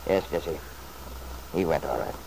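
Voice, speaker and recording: A man's voice: rumbling low, expressive, moderate pace, vocal fry, darkish tone, heavily acted. An actor playing a stubborn, selfish middle-aged father in an old-time radio soap, blustering and self-justifying in an emphatic way. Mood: irritated, grumbling. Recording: noisy vintage radio drama recording.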